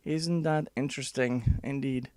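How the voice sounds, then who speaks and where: Halting man reading an article aloud. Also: medium pitch, steady tone, mellow, no room sound. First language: not English. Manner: halting, many "uh" fillers and restarts; dry, sarcastic asides. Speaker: commentator.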